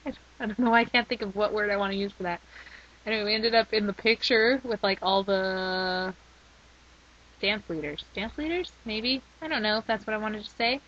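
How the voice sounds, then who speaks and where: A young woman rambling in a casual video diary, her voice worn from a week of shouting. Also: on the high side. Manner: chatty and rambling. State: losing her voice. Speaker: vlogger. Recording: slightly dull lo-fi recording.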